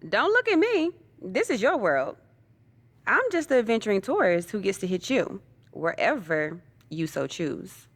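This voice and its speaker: A woman's voice: mid-range voice, very animated, measured pace, a bit bright, no room sound, full character voice. A woman playing a confident, swaggering wrestling champion in a video game, brash and playful. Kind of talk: naturalistic video game cutscene acting.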